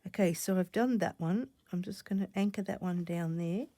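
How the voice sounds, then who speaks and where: A woman recovering from a cough, chatting casually while she stitches, often dropping to soft half-whispers as she thinks aloud. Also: lowish voice, normal speed, no room sound. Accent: Australian. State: croaky from a cough. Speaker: stitching channel host. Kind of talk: casual crafting vlog chat.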